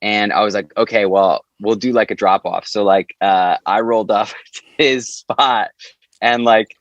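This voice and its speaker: A man's voice: lowish voice, fairly even intonation, measured pace, no echo, lo-fi sound. A man being interviewed as a band member, talking casually in an informal, loose storytelling way. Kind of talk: casual chat.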